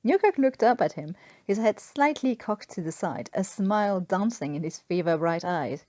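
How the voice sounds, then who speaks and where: Mellow-voiced woman reading a story. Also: mid-range voice, animated, moderate pace, dry recording. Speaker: podfic reader and story author. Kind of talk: scripted fan fiction narration.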